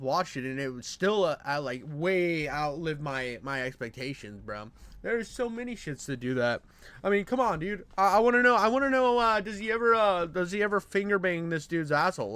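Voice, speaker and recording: A man giving casual reaction commentary as a host, rambling in crude slang with an expressive delivery. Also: high voice, dry recording.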